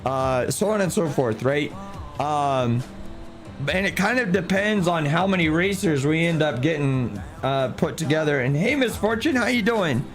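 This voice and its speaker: A hoarse man who has nearly lost his voice, chatting casually with viewers and a teammate while livestreaming a game. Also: on the high side, lively intonation, normal speed, soft background music. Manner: chatty, greets viewers by name. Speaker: streamer playing a driving sim. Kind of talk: casual live-stream chat.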